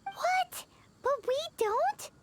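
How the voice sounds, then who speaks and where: A woman's voice: very high voice, lively intonation, drawn-out words, very noisy, exaggerated voice. A woman voicing a tiny, childlike, indignant companion creature in a video game. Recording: loud music under the voice.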